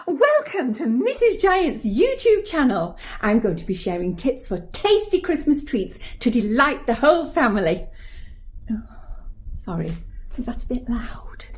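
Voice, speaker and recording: A woman's voice: a little high, sing-song, a little slow. A woman playing a widowed giantess who hosts an amateur cookery video, flustered, chatty and cheerfully man-eating. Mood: bright, loud. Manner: chatty, self-conscious, muttering asides then loud exclaiming. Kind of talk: comic monologue as a cookery video. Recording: roomy, echoey, distant microphone.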